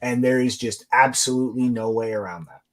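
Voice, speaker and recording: A man's voice: medium pitch, fairly quick, low-quality recording. A man making a blunt, emphatic point as a panelist in a casual chat. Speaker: podcast panelist.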